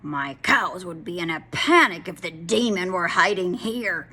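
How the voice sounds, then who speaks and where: A woman voicing a grumpy, blustering farmer in a storybook, barking his lines loudly. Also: lowish voice, expressive, unhurried, breathy edge, exaggerated voice. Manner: loud, barking, bossy.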